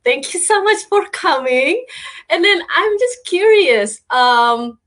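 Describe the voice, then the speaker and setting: A woman's voice: very high-pitched, lively intonation, takes it slowly, fairly bright tone. A woman hosting a casual online interview who speaks hesitantly with many fillers. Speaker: host.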